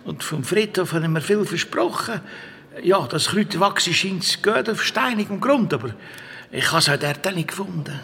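A man's voice: high-pitched, lively intonation, moderate pace, vocal fry, pushed and bright, exaggerated voice. A man with a Swiss German accent playing a secretive, teasing monk and herbalist in a children's audio drama. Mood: explaining.

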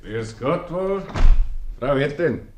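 A man's voice: on the high side, animated, slow pace. A man playing a stubborn, thrifty husband with a Swiss German accent, curt and contrary, in a 1950s radio comedy. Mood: gruff, ordering. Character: stubborn, thrifty husband bickering with his bossy wife. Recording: slightly noisy old radio recording.

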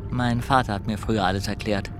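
An actor as a secretive informant in a radio crime play. He speaks in a fairly low voice, calmly feeling out the other person while giving little away. Music is clearly audible underneath. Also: fast talker, lightly acted. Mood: confiding. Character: smooth-talking, secretive undercover informant, a man. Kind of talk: naturalistic radio crime drama acting. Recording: music behind the voice.

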